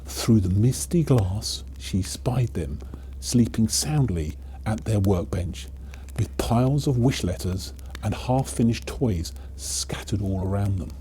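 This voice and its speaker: Male storyteller, very soft dark tone, lively swings of pitch, theatrical children's tale delivery. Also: takes it slowly, slight background noise, poor audio. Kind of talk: dramatic children's storybook narration.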